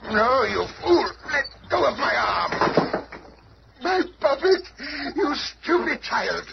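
An actor playing a gloating, scornful puppet master villain in a melodramatic radio drama, with a theatrical, echoey processed voice. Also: male voice, very high voice, very animated, full character voice. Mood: furious, frantic. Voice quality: creaky, theatrical. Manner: grandiose, drawn-out, swooping delivery. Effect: echoey voice effect. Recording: dull, noisy old radio drama recording.

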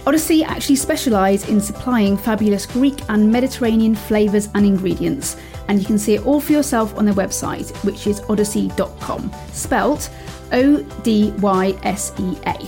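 A woman's voice: mid-range voice, normal speed, warm tone, faint music behind. A woman co-hosting a food podcast, reading an ad and welcoming a guest in a friendly way. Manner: friendly, reads lines smoothly. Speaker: podcast co-host, food blogger.

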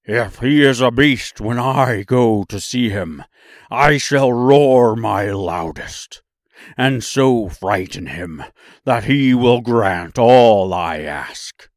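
A man voicing a bold, boastful lion in a storybook, loud and blustering. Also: medium pitch, expressive, slow, no echo, clean studio sound.

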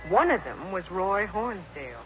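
A woman's voice: medium pitch, big pitch swings, normal speed. Reflective mother, muffled radio sound. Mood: fond, reminiscing. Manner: fond, storytelling. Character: reflective, gentle mother reminiscing to her daughter. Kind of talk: 1950s radio drama acting. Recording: muffled old radio recording, loud music.

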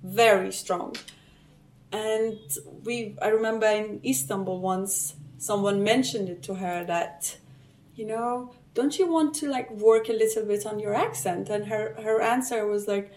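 A woman's voice: mid-range voice, unhurried, darkish tone. A woman from Iran with a mixed non-native English accent, talking casually as an interview guest. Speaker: interview guest, theatre actor. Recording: roomy, echoey, distant from mic.

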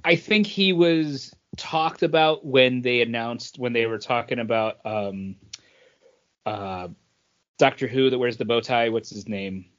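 A man's voice: medium pitch, a little slow, a bit bright, dull audio. A man co-hosting a podcast, chatting casually about geek culture and often searching for his words. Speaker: podcast co-host.

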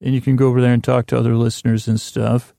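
A man hosting a bedtime podcast, talking in a halting, meandering, self-deprecating monologue. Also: medium pitch, level tone, gravelly, warm tone, dry recording, studio quality. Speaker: podcast host.

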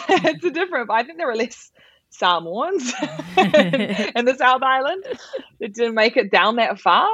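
A woman's voice: mid-range voice, dry recording, muffled recording. A young woman with a New Zealand accent, a comedian chatting as a guest, laughing often and restarting her sentences. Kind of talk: casual podcast interview.

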